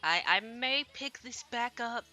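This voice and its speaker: A woman's voice: on the high side, expressive, unhurried, bright, forceful tone, soft background music. A woman streamer chatting casually on a livestream in a rambling, self-deprecating, apologetic way, speaking into a headset. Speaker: streamer translating a Japanese visual novel.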